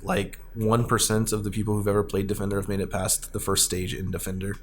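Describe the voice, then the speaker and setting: A man with a fairly low voice chats casually as a podcast host. He rambles along with real enthusiasm, and there is clear noise behind him. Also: little pitch movement, fast talker. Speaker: podcast host and arcade owner.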